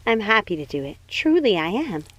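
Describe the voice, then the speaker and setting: A woman voicing a gentle, sweet young woman in an audiobook, speaking in an earnest, reassuring manner. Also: medium pitch, sing-song, brisk, no room sound, highs cut off. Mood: eager, earnest. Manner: soft-hearted, earnest, reassuring. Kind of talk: audiobook character voice.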